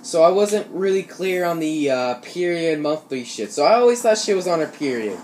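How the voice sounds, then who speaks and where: A man's voice: a little high, big pitch swings, normal speed, darkish tone. A young American man telling casual stories over gameplay, with slangy, swear-heavy talk. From Ohio, United States. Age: teenager or young adult. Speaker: gaming YouTuber. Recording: noisy home recording, gameplay sounds behind. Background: shooter game sounds.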